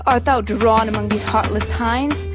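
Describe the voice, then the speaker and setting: A woman's voice: a little high, fairly even intonation, a little slow, loud music behind the voice, natural voice. A woman playing a hot-headed, menacing young duelist in an amateur Shakespeare reading, taunting with scorn. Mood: scornful menace.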